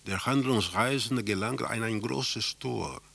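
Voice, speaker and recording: A man playing a detached narrator in a literary radio drama, describing bleak, surreal scenes deliberately in a gravelly voice. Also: medium pitch, fairly bright tone, heavily acted. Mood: ominous, detached. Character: male narrator of a bleak, surreal travelling salesman tale.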